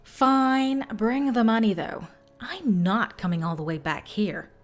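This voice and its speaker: A woman's voice: a little high, expressive, a little slow. A woman voicing a tough, wary female exorcist in an audio drama, speaking bluntly and curtly. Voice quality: blunt, curt delivery. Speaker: voice actor in a fan audio drama.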